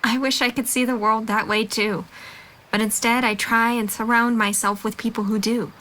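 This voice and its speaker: A woman playing a young college student in an audio drama, with a fairly high voice. She is candid and earnest, a supportive and forgiving friend. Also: level tone, normal speed, sharp tone, rough recording.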